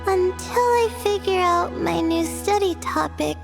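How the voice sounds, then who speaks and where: A woman's voice: very high voice, a little slow, gravelly, music in the background, heavily acted. A young woman playing a shy, anxious, self-doubting student in a video game, with a hesitant, stammering, modest manner. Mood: modest, wistful.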